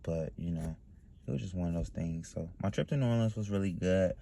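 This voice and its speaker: A man's voice: sits low, a little fast, vocal fry, warm tone. A man from Ohio talking to camera in a loose, meandering way, full of fillers and "you know what I mean.". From Dayton, Ohio, US. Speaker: vlogger. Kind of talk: casual rambling monologue.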